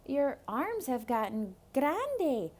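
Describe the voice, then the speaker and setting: A woman voicing a polite, quick-thinking little boy in a children's storybook. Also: high voice, very animated, darkish tone, a little hiss or hum, pitched above the performer's own voice, heavily acted. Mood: wary. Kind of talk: theatrical children's storybook read-aloud.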